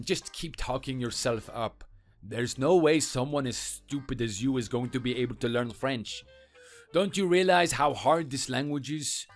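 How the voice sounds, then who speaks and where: A man voicing a scornful, boastful French mechanic who taunts and belittles in a lower, quieter voice, with faint music behind. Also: natural voice. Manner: taunting, contemptuous.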